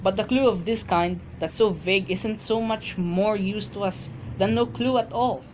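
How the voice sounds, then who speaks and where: A woman's voice: medium pitch, moderate pace, a little mellow, lightly acted, poor audio. A woman playing a weary, dismissive police desk sergeant in an amateur radio drama, brusque and patronizing. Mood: weary explaining. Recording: faint background noise.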